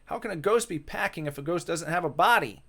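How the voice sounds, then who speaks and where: A man's voice: fairly high pitch, expressive, fairly quick, fairly bright tone, voice pushed up. A man voicing an old-fashioned male ghost, flustered and lovesick, with theatrical old-timey phrasing, for a visual novel. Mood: indignant.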